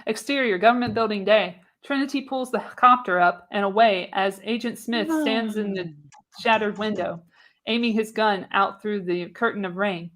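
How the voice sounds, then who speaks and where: A woman reads stage and action directions as the narrator of a radio play. Her voice sits at a medium pitch, and her delivery is dramatic and scripted, with an occasional stumble over a word. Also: moderate pace, fairly bright tone. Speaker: narrator, show host. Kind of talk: reading screenplay directions for live radio play.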